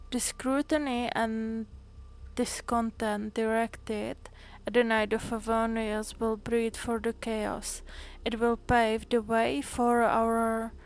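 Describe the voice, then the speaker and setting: A woman streamer reading in-game notes aloud slowly and carefully, sometimes going back over a word. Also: a little high. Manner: slow, careful reading with small restarts.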